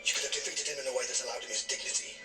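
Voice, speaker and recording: An actor playing a stern, guilt-ridden veteran soldier with a commanding, clipped way of speaking. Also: male voice, medium pitch, fairly even intonation, very fast, close to an everyday voice. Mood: grave. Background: music score.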